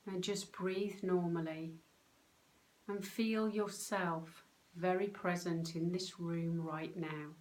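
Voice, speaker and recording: A woman leading a guided meditation in a calm, soothing, unhurried way, with pauses between short phrases. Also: lowish voice, poor audio. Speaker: meditation guide, soul coach.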